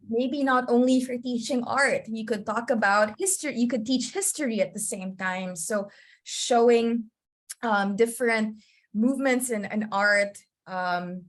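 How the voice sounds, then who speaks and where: A woman presenting an online teaching webinar over a video call, chatty and often checking in with her listeners. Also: fairly high pitch. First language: English. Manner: chatty, often checks in with "you know?" and "okay?".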